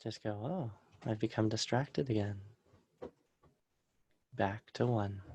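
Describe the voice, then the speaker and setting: A calm, soft-spoken man teaching meditation, guiding a session and then answering questions from an online group. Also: on the low side, slow, slightly rough, highs cut off. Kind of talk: guided meditation and live Q&A webinar. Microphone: video call.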